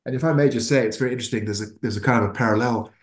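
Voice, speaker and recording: A man's voice: mid-range voice, rapid-fire. A middle-aged man from Zimbabwe with a mixed Irish, English and South African accent, telling his story earnestly as a guest. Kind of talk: casual conversation. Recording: roomy echo.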